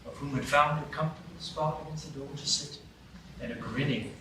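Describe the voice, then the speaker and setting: A man narrating a storybook radio play in a measured, storytelling way. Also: medium pitch, heavy background noise. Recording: roomy live stage, distant mics.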